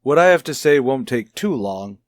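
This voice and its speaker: An actor playing a gentle, probing child psychologist in a radio soap, speaking in a measured, soothing, tactful way. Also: male voice, medium pitch, animated, no echo, studio quality, lightly acted. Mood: guarded, serious. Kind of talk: old radio soap opera acting.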